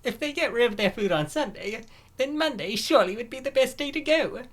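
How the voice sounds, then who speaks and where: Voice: mid-range voice, expressive, fairly quick, natural voice. A performer voicing an insistent, food-loving young woman who is breezy and persuasive, in an amateur comic dub. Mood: breezy, coaxing.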